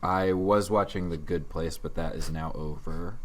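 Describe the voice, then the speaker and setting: A man with a low-pitched voice talks casually as a podcast host. His delivery is dry and joking, with a sarcastic streak running through the banter. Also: fairly even intonation, normal speed, slight background noise.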